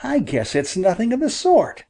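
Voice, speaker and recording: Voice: medium pitch, wildly swooping pitch, moderate pace, dark tone, husky, pitched above the performer's own voice, full character voice. A reader voicing a young farm boy who fiercely scolds a hunter while protecting a wounded bird, in an audiobook. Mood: indignant. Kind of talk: audiobook character voice.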